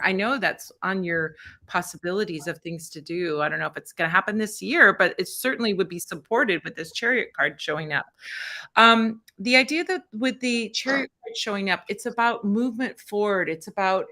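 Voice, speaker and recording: Brief: woman host of a live tarot stream, bright voice, chatty and encouraging. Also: medium pitch. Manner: chatty and encouraging, thinks aloud while fumbling with the stream setup. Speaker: tarot reader hosting a fundraiser stream.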